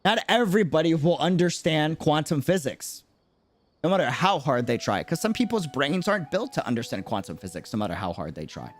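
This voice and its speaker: A man's voice: on the high side, very animated, brisk. A man giving casual livestream commentary on a game, talking earnestly and conversationally, explaining things by example.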